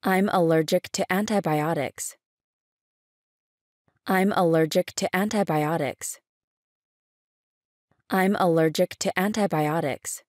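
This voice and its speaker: A woman reading short English phrases for a language lesson, saying each one three times, slowly and clearly. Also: on the low side, a little mellow, no echo, clean studio sound. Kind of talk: slow repeated phrase reading.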